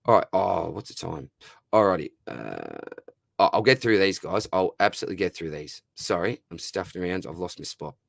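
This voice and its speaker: Aussie guy on a live stream, low voice, dry close mic, throat a bit dry, calls everyone mate. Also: sing-song, moderate pace, vocal fry, dry recording. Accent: Australian. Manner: matey and chatty, lots of "mate" and "brother", reads out questions. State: dry throat, losing his voice. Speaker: fantasy football streamer answering viewer questions.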